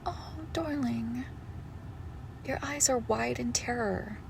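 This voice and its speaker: Woman acting a slow, creaky-voiced monstrous girlfriend. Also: a little high, noisy background. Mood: tender menace. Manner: condescending, darkly affectionate, drawn-out deliberate phrasing. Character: possessive monstrous girlfriend with shadow powers, sweetly menacing. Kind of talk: intimate horror roleplay audio, slow and hushed.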